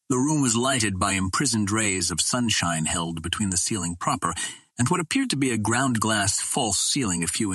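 A man's voice: on the low side, a bit bright, breathy edge, no room sound. A man reading a pulp fantasy adventure audiobook as scripted narration.

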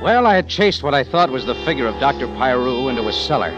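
A man's voice: medium pitch, big pitch swings, quick pace, lo-fi sound, lightly acted. An actor playing a terse, wry American adventurer who narrates his own case in slangy 1940s radio detective style. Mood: tense narration. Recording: old radio recording, music behind.

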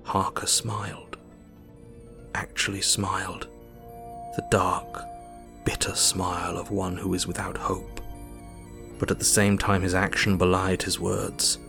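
A man playing a grave Victorian doctor narrating his diary in a measured, literary way for an audio drama. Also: deep voice, creaky, a bit bright, heavily acted. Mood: grim.

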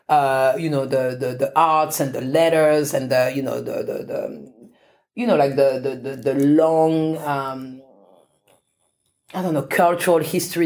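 Interview guest: woman professor from France, deep voice, reflective, many fillers and restarts.

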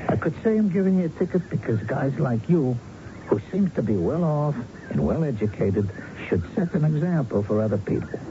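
An actor playing a sarcastic, folksy highway patrol officer who lectures in a 1970s radio drama. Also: male voice, sing-song, dark tone. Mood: sardonic. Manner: folksy, sarcastic, drawn-out questioning. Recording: muffled, noisy old radio broadcast.

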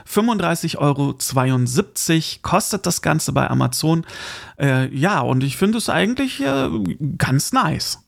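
Male podcast host, fairly high voice with heavy creak, informal and chatty. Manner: chatty, informal, addresses listener directly. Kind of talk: casual solo podcast monologue with gift tips.

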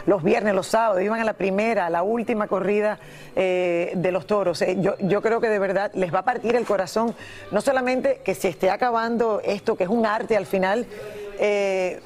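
High-pitched, agreeable male co-host. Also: steady tone, fairly quick. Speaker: TV show co-host. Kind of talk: unscripted studio chat.

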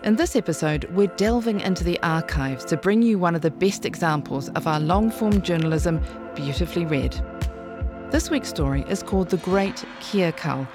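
A woman's voice: lowish voice, darkish tone. A woman with a New Zealand accent reading a scripted podcast introduction as host.

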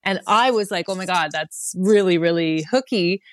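A woman's voice: lowish voice, moderate pace, no echo, clean studio sound. A woman musician being interviewed, chatting casually and rambling with lots of fillers. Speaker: musician guest.

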